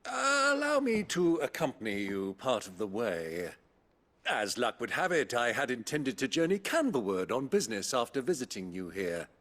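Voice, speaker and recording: A man's voice: mid-range voice, huge pitch swings, moderate pace, fairly bright tone. An actor playing an older, avuncular nobleman in a fantasy game, with a flowery, theatrical and slightly pompous manner. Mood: jaunty, grand. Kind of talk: scripted fantasy game dialogue.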